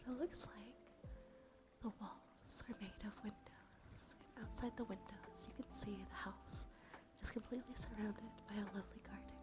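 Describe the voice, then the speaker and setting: Whispering woman, slow ASMR guide over music. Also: mid-range voice. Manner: slow, soothing, gently coaxing. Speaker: ASMR streamer. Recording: music behind the voice.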